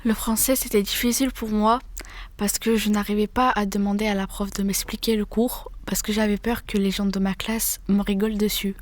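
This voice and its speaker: A woman's voice: medium pitch, little pitch movement, normal speed. A young woman in her late teens recounts her own school experience earnestly as a student. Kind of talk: personal testimony.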